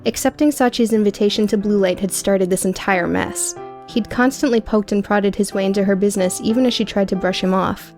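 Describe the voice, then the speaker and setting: A woman's voice: medium pitch, fairly quick, a little mellow. Woman narrating fiction over faint music. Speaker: audiobook narrator. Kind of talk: dramatic scripted narration.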